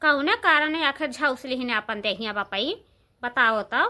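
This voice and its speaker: A woman's voice: sits high, lively intonation, measured pace, sharp tone, heavily acted. A woman voicing a bossy, scolding village housewife in a comedy cartoon, with an eastern Uttar Pradesh accent and emphatic, repetitive complaints. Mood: alarmed lamenting.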